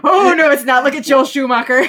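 Bright-voiced woman chatting casually. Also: high-pitched, brisk. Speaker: podcast co-host. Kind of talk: casual banter and film discussion.

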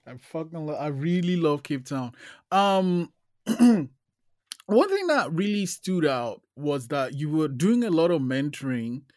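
Man, interviewer, slightly high pitch, laid-back questions. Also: lively intonation, a little slow, darkish tone, dry recording, studio quality. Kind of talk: casual interview chat.

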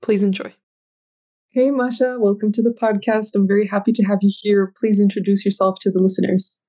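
Mellow-voiced woman hosting a podcast. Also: mid-range voice. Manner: even and measured, short encouraging backchannels. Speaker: podcast host. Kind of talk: scripted intro, then conversational interviewing.

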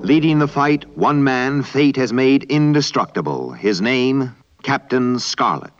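An actor playing the grave announcer of a vintage adventure series, narrating the titles dramatically. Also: male voice, mid-range voice, lively intonation, unhurried, lightly acted. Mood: grave, dramatic. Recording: dull old TV soundtrack, loud music.

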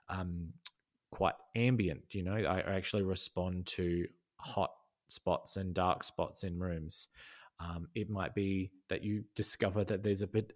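A man talking as a podcast guest in a casual conversation, thoughtful, with many fillers and restarts. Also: low voice. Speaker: podcast guest, design educator.

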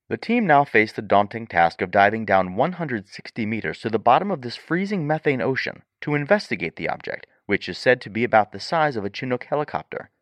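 An actor playing a sober news reporter, delivering a measured, scripted report on a space mission. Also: male voice, medium pitch, dry recording, lightly acted.

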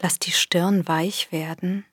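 A woman with a soft, breathy voice gently guiding a body scan meditation in a soothing manner. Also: on the low side, fairly even intonation, dry recording, clean studio sound. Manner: gentle, soothing, unhurried instruction with long pauses. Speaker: meditation guide. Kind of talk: guided body scan meditation, scripted narration.

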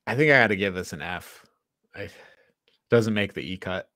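A man's voice: sits low, lively intonation, moderate pace, pushed and bright, no room sound, clean studio sound. A man hosting a podcast, talking casually as he reviews a film. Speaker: podcast host.

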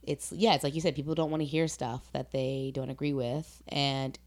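A woman hosting a casual, philosophical conversation, speaking in an earnest, deliberate, opinionated way. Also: very deep voice, little pitch movement, a little mellow.

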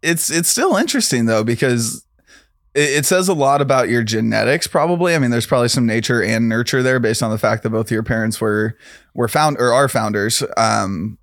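A man's voice: medium pitch, expressive, fairly quick, a bit bright, no echo, studio quality. A man hosting a podcast interview, chatty and joking, laughing easily with his guest. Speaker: podcast host. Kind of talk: casual interview chat.

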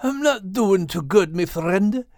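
A man's voice: high-pitched, lively intonation, slow, darkish tone, no room sound, clean studio sound, pitched above the performer's own voice, full character voice. A man voicing a troubled, earnest furry animal with a folksy, pleading manner in an audiobook. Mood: anxious, pleading. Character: troubled, earnest furry animal, male, self-doubting friend. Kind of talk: audiobook character voice.

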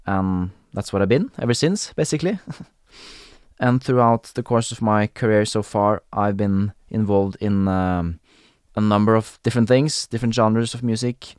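A young man from Norway hosting a podcast in English with a Norwegian accent, talking casually with frequent pauses and fillers. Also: on the low side, a little mellow, clean tone, no echo, studio quality. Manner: hesitant, many fillers and restarts, laughs often. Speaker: podcast host. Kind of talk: casual solo podcast talk.

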